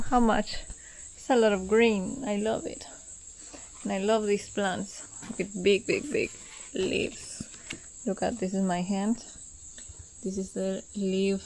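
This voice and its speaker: A pregnant woman, speaking slowly and enthusiastically, repeating words as she narrates her travel vlog. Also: mid-range voice, poor audio. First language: Spanish. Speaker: travel vlogger. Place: outdoors at a lodge.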